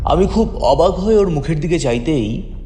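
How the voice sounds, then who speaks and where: A man narrates a story dramatically in a fairly high, mellow voice. His pitch moves in a lively way, with long reverb around it. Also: moderate pace, slight background noise. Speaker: story reader. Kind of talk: dramatic scripted first-person narration. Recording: long reverb, faint music behind.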